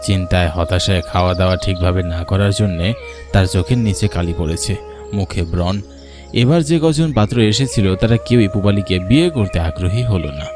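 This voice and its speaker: A man's voice: low-pitched, moderate pace. A man narrating a scripted folk tale as a storyteller. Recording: music behind the voice.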